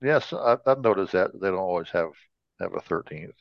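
A man's voice: sits low, lively intonation, moderate pace, vocal fry, dry recording, lo-fi sound. A man co-hosting a casual podcast, bantering and cracking jokes as he chats. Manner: jokey. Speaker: podcast co-host.

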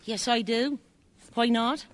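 A woman's voice: fairly high pitch, fairly even intonation, slow pace. An actress playing a brazen, callous charwoman with a scornful, cackling manner in a radio drama. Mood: gloating. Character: brazen, callous charwoman selling a dead man's things. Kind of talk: family radio drama acting.

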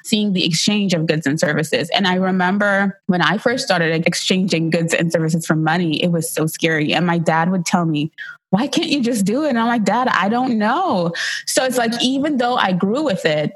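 A woman's voice: lowish voice, moderate pace, hardly any pauses, breathy edge, low-quality recording. A woman from Trinidad and Tobago speaking as a podcast guest, telling stories in a chatty, informal way. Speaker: podcast guest, video coach. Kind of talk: conversational storytelling interview.

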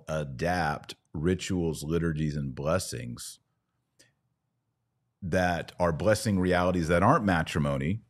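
A man with a deep, low-pitched voice hosts a podcast. He gives conversational commentary, talking straight to listeners and to his guest. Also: darkish tone. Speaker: podcast host.

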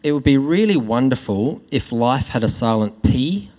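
A man preaching to his congregation in a relaxed, conversational sermon, often restarting his phrases. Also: medium pitch, moderate pace. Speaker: pastor. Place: church hall. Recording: long hall reverb.

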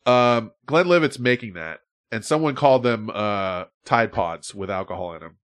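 A man's voice: on the low side, lively intonation, moderate pace. A man hosting a podcast in casual chat, rambling, swearing freely and laughing often. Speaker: podcast host.

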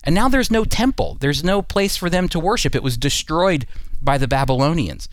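Higher-voiced American man teaching, dry sound. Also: expressive, normal speed, no echo. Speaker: radio Bible teaching host. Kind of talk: teaching talk with scripted segments.

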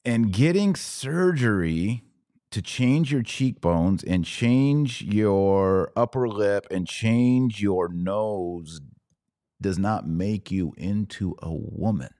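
Low-pitched, unhurried American man. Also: animated, mellow, dry recording, clean studio sound. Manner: conversational, pointed rhetorical questions. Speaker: podcast host. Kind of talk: live podcast commentary to a chat audience.

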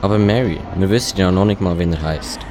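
A flustered man acted by a male amateur in a radio play, with a fairly low voice and a Swiss German accent. Clear background noise runs behind him. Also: quick pace, close to an everyday voice. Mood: hesitant, kindly. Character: English family father and grumbling taxi driver, easily flustered.

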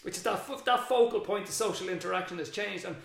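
A man's voice: high voice, fast, gravelly. An Irish man, a secondary school teacher and interview guest, thinking aloud in a reflective, rambling way with frequent restarts. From Ireland. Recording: very echoey room.

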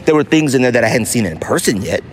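A middle-aged American man hosting a casual solo podcast, telling stories in an animated, slangy, emphatic way. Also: mid-range voice, fast talker, slight background noise. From United States. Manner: animated, slangy storytelling with mock voices for others; emphatic, with repetition for effect. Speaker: podcast host.

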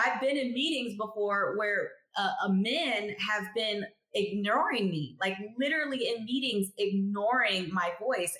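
A woman in her thirties, a chemist guest telling stories in a casual interview, acting out the people she quotes. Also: mid-range voice. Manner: expressive storyteller who acts out quoted people. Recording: roomy echo.

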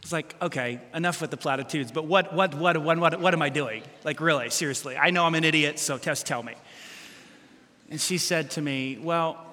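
A middle-aged pastor with a loud voice preaching, animated and self-deprecating, with sudden loud bursts as he tells stories. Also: a little high, normal speed. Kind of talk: sermon. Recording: long hall reverb.

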